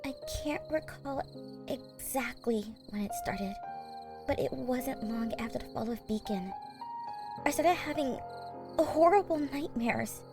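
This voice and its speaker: A woman voicing an earnest, guilt-ridden young huntress girl in an audio drama, halting and emotional. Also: high voice, over music. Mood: guilty confession. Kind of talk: dramatic fan-fiction voice acting.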